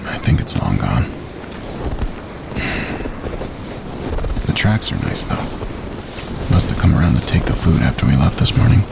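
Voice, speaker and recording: A man playing a steady, laconic wildlife photographer on a winter camping trip, understated and calm, in a naturalistic audio drama. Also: extremely low pitch, fairly quick, exaggerated voice. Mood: hushed, cautious. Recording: clear outdoor ambience noise behind.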